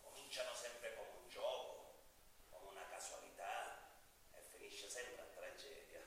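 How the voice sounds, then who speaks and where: A man's voice: fairly low pitch, unhurried. An actor playing a jailed mafia killer in a theatrical stage monologue, sly, mocking and menacing as he wheedles a judge, moving between murmurs and outbursts. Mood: bitter, knowing. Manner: mocking, insinuating, swinging from hushed murmurs to loud outbursts. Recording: very echoey, distant, hissy live stage recording.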